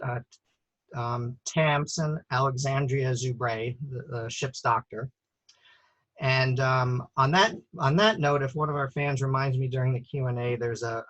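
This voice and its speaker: A man's voice: mid-range voice, fairly bright tone, no echo, poor audio. A man hosting an online premiere chat, speaking with many fillers and restarts. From United States. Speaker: author and host.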